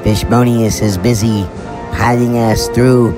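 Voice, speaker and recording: A man's voice: mid-range voice, fairly even intonation, measured pace, close to an everyday voice. A man playing an easygoing, folksy spaceship mechanic who hosts a fiction podcast in a playful, leisurely manner. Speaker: show host. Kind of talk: in-character podcast introduction. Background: music.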